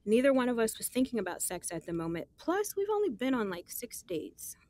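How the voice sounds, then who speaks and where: A woman's voice: fairly high pitch, very animated, fairly quick, a little mellow, dry recording. An actress playing a guarded but smitten young woman, chatting in sassy, slangy style with her best friend in an audio drama. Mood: defensive. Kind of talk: casual conversational audio drama.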